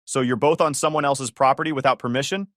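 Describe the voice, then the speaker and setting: A man's voice: medium pitch, steady tone, fast, a bit bright, dry recording, clean studio sound, close to an everyday voice. A man voicing a stern, businesslike police officer asking curt questions.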